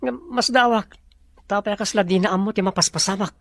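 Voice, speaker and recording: Creaky-voiced exasperated Filipino boss. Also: male voice, high-pitched, fairly quick, a bit bright, dry recording. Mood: exasperated, troubled. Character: male boss, businesslike, easily exasperated, troubled by conscience. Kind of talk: radio drama acting.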